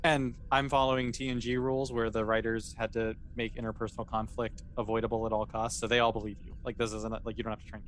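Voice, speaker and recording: A man running a tabletop sci-fi game as game master, improvising narration and explaining in an informal, halting way with self-corrections. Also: medium pitch, little pitch movement, fairly bright tone. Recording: clearly noisy recording.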